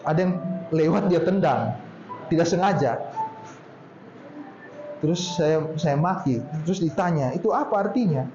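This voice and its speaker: A man from Poso in Indonesia with a regional Poso accent, speaking casually to a group in a relaxed storytelling way and drawing out his words. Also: on the high side, soft background music. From Poso, Central Sulawesi, Indonesia. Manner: chatty, drawn-out storytelling tone. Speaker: speaker at a talk. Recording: roomy, dull lo-fi sound with faint ambience.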